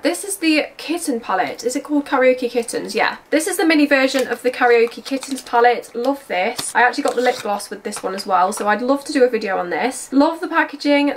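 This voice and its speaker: A young woman with a slightly croaky voice, chatting enthusiastically as she reviews her makeup collection. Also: fairly high pitch. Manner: gushing, enthusiastic, repeats "love that". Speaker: beauty vlogger.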